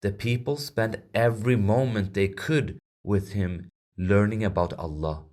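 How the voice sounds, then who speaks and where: Slow, deep-voiced man telling a story. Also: darkish tone. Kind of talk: children's storybook narration.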